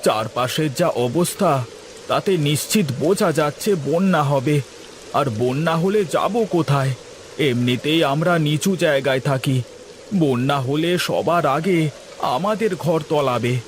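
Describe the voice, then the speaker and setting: A man's voice: fairly high pitch, animated, unhurried. A man voicing a cautious, practical village husband in a cartoon, speaking with urgent insistence. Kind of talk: cartoon dialogue. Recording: faint rain noise behind voice.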